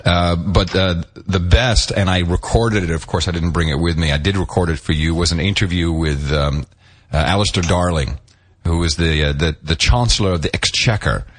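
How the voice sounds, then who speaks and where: Middle-aged male co-host, low voice, chatty and rambling, restarts a lot, animated asides. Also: normal speed. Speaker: podcast co-host. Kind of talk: casual chat.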